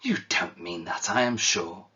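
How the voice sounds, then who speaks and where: Cheerful, eager young man, played by a low-voiced man stretching up high, dull lo-fi recording. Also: unhurried, pushed and bright. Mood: incredulous.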